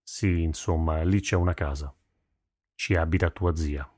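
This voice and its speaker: A man voicing a gruff, protective armourer father in an audiobook, deep-voiced, halting and reluctant in manner. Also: dry recording, clean studio sound, lightly acted. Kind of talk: audiobook character voice.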